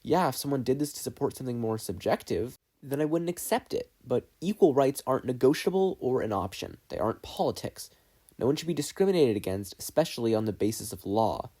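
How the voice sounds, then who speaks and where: A determined, argumentative teenage boy acted by a man in an audio story. He is earnest and insistent, with a lively, slightly raised pitch. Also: fairly quick, husky.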